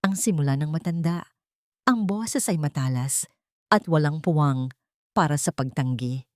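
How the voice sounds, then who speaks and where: A woman with a low-pitched voice narrates a scripted audio drama in a dramatic storytelling style. The recording is close and very dry, with no sense of a room around the voice. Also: a little slow, darkish tone, no echo.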